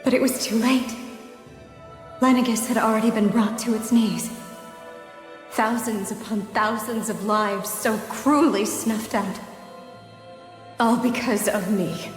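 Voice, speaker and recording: An actress playing a remorseful ancient maiden, speaking a solemn, measured, sorrowful monologue in a video game. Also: female voice, a little high, steady tone. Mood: anguished guilt. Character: remorseful ancient maiden confessing her doom. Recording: echoing long reverb, music behind.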